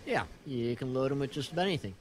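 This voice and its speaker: A man's voice: mid-range voice, lively intonation, fast, lightly acted. A man acting a practical, gung-ho local outdoorsman on a monster hunt in a horror audio drama, matter-of-fact in manner.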